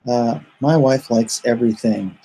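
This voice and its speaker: Gravelly, husky man chatting casually. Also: medium pitch, measured pace, warm tone, low-quality recording. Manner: rambling, joking. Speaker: comic artist hosting a livestream. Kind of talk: casual livestream chat, reading viewer comments aloud.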